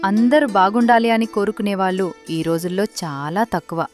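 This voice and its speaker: A woman playing a gentle, grateful poor village wife and mother in a moral story cartoon. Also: medium pitch, fairly quick, close to an everyday voice. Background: background music.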